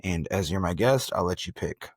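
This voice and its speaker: Low voiced dude hosting a sports podcast, slangy bro talk, really dry sound. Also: male voice, animated, normal speed, slightly rough, no room sound. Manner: slangy, bro-ish banter. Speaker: podcast host. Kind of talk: casual sports chat.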